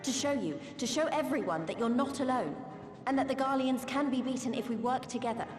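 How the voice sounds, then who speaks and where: A woman's voice: high voice, fairly quick, lightly acted. An actress playing a friendly, hopeful young female freedom fighter in a video game, speaking in an informal, earnest way. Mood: earnest, determined. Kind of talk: scripted game voice acting. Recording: echoey hall reverb under clear music.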